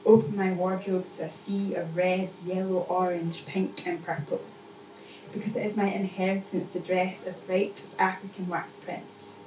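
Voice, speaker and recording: A woman reading her spoken-word poetry at a live event, with measured, deliberate phrasing and pauses. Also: fairly low pitch, darkish tone. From Scotland. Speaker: poet. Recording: echoey, noisy room, mic distant.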